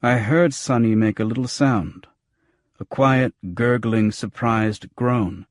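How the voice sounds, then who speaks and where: A man's voice: mid-range voice, takes it slowly, dry recording, clean studio sound. A man narrating scripted first-person noir fiction as an audiobook.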